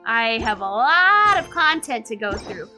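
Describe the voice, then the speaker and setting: A woman's voice: fairly high pitch, sing-song, unhurried, bright, forceful tone, soft background music. A woman live-streaming a game with casual, slangy, reactive commentary and offhand swearing.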